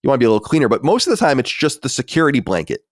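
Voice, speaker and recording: A man's voice: medium pitch, sing-song, racing, dry recording, studio quality. A man in his forties co-hosting a podcast, chatting casually, reading listener mail aloud and answering bluntly with sighs and swearing. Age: 40s. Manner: sighing, blunt and profane, reads letters aloud. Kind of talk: casual chat.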